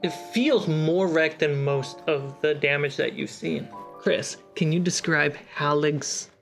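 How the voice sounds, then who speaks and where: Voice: mid-range voice, takes it slowly, vocal fry, soft background music. Matter-of-fact tabletop game master.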